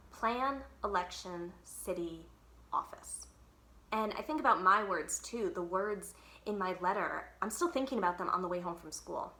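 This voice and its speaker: A woman's voice: medium pitch, sharp tone, roomy sound, slight background noise. A woman reading a children's book aloud expressively, leaning on key words with dramatic emphasis. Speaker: library read-aloud storyteller.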